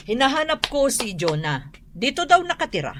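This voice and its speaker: A woman's voice: high voice, very animated, moderate pace, background noise. A woman playing a blunt Indian moneylender chasing a debtor, speaking terse, broken Tagalog in a radio drama. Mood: curt.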